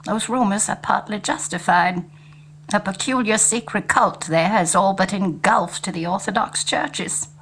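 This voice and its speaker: A woman's voice: medium pitch, unhurried, fairly bright tone, low-quality recording. A prim, haughty old woman of old-time radio drama, with faint hum behind her. She speaks formally and emphatically, full of disapproval. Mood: grave, disapproving. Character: prim, disapproving elderly historical society woman. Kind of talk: 1930s radio play acting. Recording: faint hum and room noise.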